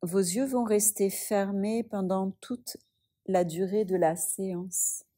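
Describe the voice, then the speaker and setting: Slow, soothing woman guiding relaxation. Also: medium pitch, steady tone. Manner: slow, soft and soothing, with long pauses. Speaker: yoga teacher. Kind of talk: guided yoga nidra relaxation.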